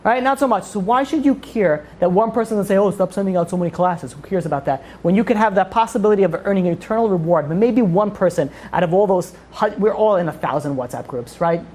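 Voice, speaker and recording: A woman's voice: medium pitch, expressive, fast talker, hardly any pauses, dark tone. A woman giving an informal religious lecture to a group, emphatically asking questions and answering them herself. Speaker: religious class teacher.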